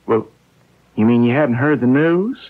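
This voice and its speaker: An actor playing a simple-hearted, dejected rustic husband in a 1970s radio drama, with a folksy, emphatic manner. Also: male voice, mid-range voice, lively intonation, normal speed, lightly acted. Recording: narrow-band vintage radio recording.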